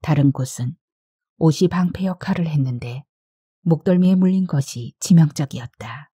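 A woman's voice: low-pitched, moderate pace, dark tone, husky, no echo, clean studio sound. A woman narrating a scripted literary short story as an audiobook reader.

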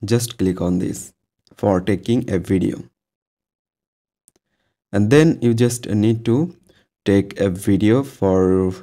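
A man hosting an informal step-by-step tutorial voice-over, speaking haltingly with repeated phrases and frequent ums. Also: low-pitched, mellow. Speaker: tutorial host. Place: voice-over to a phone screen recording.

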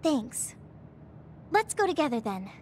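A woman's voice: high-pitched, very animated, unhurried, a little mellow, slight background noise. A woman voicing an earnest, girlish young soldier in an anime game, determined but unsure of herself.

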